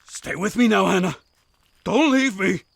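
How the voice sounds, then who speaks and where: A man's voice: sits high, lively intonation, measured pace, gravelly, a bit bright, slightly rough, no echo, exaggerated voice. A man playing a scheming, theatrical bandit ringleader in a fantasy visual novel. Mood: frantic, pleading. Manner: theatrical, pleading then threatening. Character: scheming bandit ringleader posing as frantic merchant.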